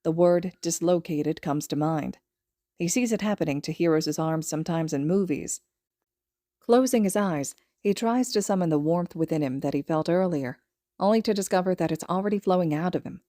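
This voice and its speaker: A woman's voice: on the low side, normal speed, dark tone, no room sound, studio quality. A woman reading a story aloud as scripted audiobook narration. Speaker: podfic narrator.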